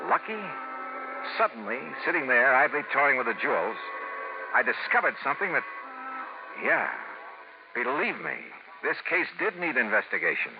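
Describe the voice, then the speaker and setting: Hardboiled radio detective, played by a man, swinging pitch. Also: big pitch swings, normal speed, pauses often, faint music behind, natural voice. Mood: wry, probing. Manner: clipped, hardboiled, storytelling. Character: hardboiled male freelance insurance investigator, wry and probing. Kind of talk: 1950s radio detective drama, first-person narration. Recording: tinny vintage radio recording.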